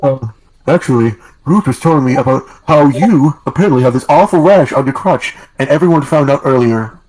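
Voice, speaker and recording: A man's voice: mid-range voice, lively intonation, fairly quick, a little mellow, close to an everyday voice, low-quality recording. A cheerful teenage cartoon animal girl, played by a man. She chats bluntly with schoolmates in an amateur fan audio drama. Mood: awkward, blunt.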